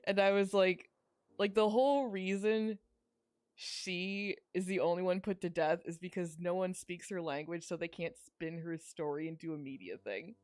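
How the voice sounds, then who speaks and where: A woman's voice: fairly low pitch, moderate pace, a little mellow, dry recording, studio quality. A woman chatting casually as a podcast co-host, making wry, jokey, rambling commentary over a video game. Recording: game music playing underneath.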